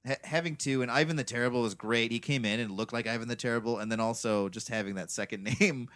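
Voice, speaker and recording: A man co-hosting a movie podcast, telling film trivia in a wry, animated way. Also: medium pitch, fast talker. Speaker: podcast co-host. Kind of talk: casual chat.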